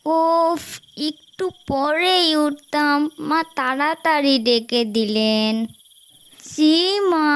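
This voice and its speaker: A very high, slow-paced little girl played by a woman speaks in a children's moral cartoon. She is gentle, devout and honest, placing each phrase with polite, earnest care. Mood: sleepy, obedient. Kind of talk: Islamic moral cartoon voice acting.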